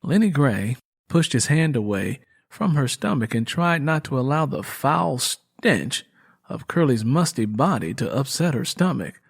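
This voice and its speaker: Expressive male story narrator, slightly husky. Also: mid-range voice, unhurried. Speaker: audiobook narrator. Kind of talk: scripted dramatic story narration.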